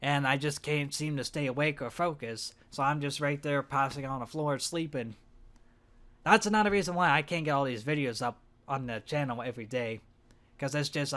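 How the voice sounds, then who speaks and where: A young man with a hoarse voice and a stuffed-up nose, rambling hesitantly in a casual vlog for his gaming channel. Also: medium pitch, fairly bright tone. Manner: rambling, hesitant, self-conscious.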